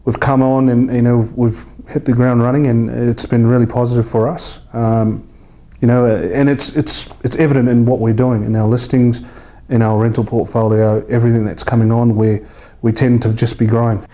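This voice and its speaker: A New man in his thirties talks informally in an interview. His voice is dark and very relaxed, at an average male pitch. He is colloquial and a little hesitant, like an estate agent having a casual chat. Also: normal speed. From New Zealand. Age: 30s.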